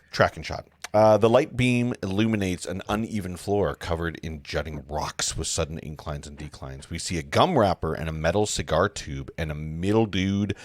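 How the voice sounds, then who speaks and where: A man's voice: low-pitched, no echo. A man hosting a livestream table read, narrating screenplay stage directions with casual asides.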